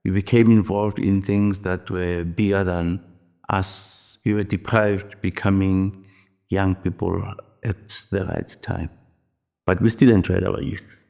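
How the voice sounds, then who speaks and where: A South African man in his sixties, reflecting slowly on his past in an interview. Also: sits low, little pitch movement, vocal fry, dark tone. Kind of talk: recounting personal history.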